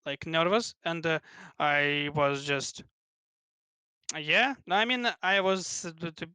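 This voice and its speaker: Young Russian-accented male guest, a touch high, halting casual speech. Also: sharp tone, smooth, no echo. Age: 20s. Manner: halting, many restarts and fillers. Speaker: guest, pro gamer. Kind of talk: casual interview chat.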